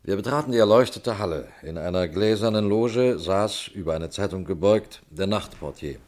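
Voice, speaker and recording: A man playing a composed colonel who narrates and acts in a radio mystery, telling it in a measured way. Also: low-pitched, darkish tone, close to an everyday voice. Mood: composed storytelling. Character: composed male colonel narrating his own mystery case.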